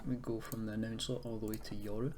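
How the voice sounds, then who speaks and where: A man with a fairly low voice talks through a software tutorial in a casual, unscripted manner. He is hesitant as he explains each step. Light noise and some keyboard typing sit behind him. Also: level tone, fast. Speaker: tutorial presenter. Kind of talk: casual screen-recorded tutorial. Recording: noisy home recording, keyboard typing.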